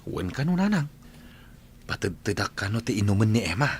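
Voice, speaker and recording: A man's voice: lowish voice, big pitch swings, fairly quick, slight background noise. An actor playing a stubborn, mournful, lovesick young man in a radio drama, with an Ilocano accent. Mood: hopeful, eager.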